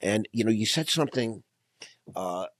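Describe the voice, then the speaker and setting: Husky-voiced male podcast host. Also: lowish voice, moderate pace, no echo. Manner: enthusiastic, effusive, repeats words when excited. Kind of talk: conversational interview.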